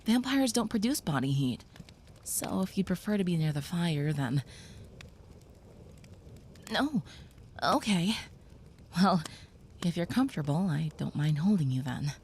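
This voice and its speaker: Woman voicing a gentle young vampire. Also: fairly low pitch, big pitch swings, measured pace, pauses often, a little hiss or hum. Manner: soft, reassuring, sometimes whispering. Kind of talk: audio roleplay to the listener.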